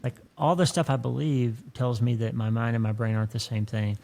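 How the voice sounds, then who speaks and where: A man hosting a podcast in a conversational, earnest, effusive and folksy way, with a creaky voice. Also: mid-range voice, steady tone, fairly quick, darkish tone. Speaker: podcast host. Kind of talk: conversational interview and podcast talk.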